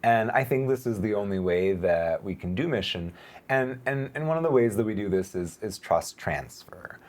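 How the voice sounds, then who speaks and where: A man who is a pastor and missionary, talking earnestly in casual conversation, laughing often and mocking others in high sarcastic voices, with a lingering cough. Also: lively intonation, moderate pace, a little mellow.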